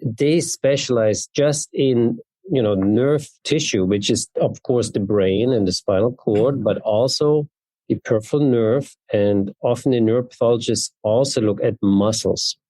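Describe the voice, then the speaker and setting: A man's voice: medium pitch, a little slow, warm tone, no echo. A man in his fifties with a German accent, giving conversational answers as an interview guest. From East Berlin, Germany. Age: 50s. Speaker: interview guest, neurologist and genetics researcher.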